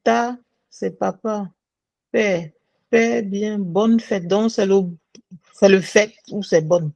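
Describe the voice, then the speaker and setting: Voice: mid-range voice, steady tone, slow, vocal fry, a little mellow, no room sound. A participant in an online class asking about phrase meanings, thinking aloud. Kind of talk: questions in class.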